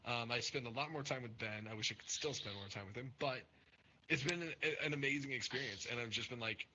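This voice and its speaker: A man's voice: medium pitch, fast, bright, forceful tone, lo-fi sound, a little hiss or hum. A young man hosting a casual podcast, talking earnestly and rambling with many fillers and restarts. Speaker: podcast host and Twitch streamer.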